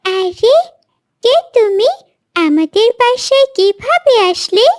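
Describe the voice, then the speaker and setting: A woman's voice: very high-pitched, expressive, takes it slowly, exaggerated voice. A woman voicing an innocent, lonely little girl bird in a children's cartoon, with a plaintive, childlike way of talking. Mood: curious, eager.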